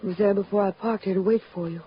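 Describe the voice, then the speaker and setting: A woman's voice: medium pitch, fairly even intonation, quick pace, close to an everyday voice. An anxious, guarded woman voiced by an actress, speaking in short questioning lines. It is 1940s radio acting on a muffled recording with faint noise. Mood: uneasy, questioning.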